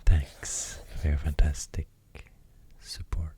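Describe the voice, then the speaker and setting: Swedish-accented man, ASMR host, extremely dark mellow voice, slow and soothing, breathy half-whisper, close-miked. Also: level tone. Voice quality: breathy, near-whispered deep voice. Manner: gentle, soothing, unhurried. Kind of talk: soft-spoken ASMR rambling. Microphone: close microphone.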